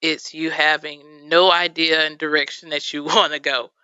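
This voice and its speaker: Texan woman guest, radio interview, bright clear voice, chatty with fillers, dry and slightly dull studio sound. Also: sits low, level tone, moderate pace, no room sound. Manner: chatty, many fillers, laughs easily. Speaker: videographer guest.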